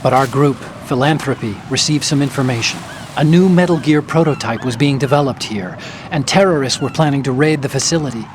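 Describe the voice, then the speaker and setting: A man's voice: medium pitch, a little fast, background noise, natural voice. Man acting an earnest, brainy activist. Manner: articulate, explanatory. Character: earnest, brainy male activist, calm idealist. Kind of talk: dramatic video game acting.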